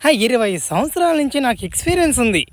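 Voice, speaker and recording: A woman's voice: high-pitched, sing-song, very fast. A woman voicing an exasperated, bemused male doctor in a comic cartoon, chatty and full of incredulous exclamations. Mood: baffled. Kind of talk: comic cartoon dubbing in Telugu.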